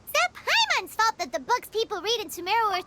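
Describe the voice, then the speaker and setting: An excitable little fairy companion from an anime game, with a squeaky, childlike voice pitched extremely high. The delivery is animated and a bit whiny, swinging widely in tone. Loud noise sits behind the voice. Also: female voice, fast. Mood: exasperated, indignant. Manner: refers to self in third person, expressive. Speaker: travel guide companion.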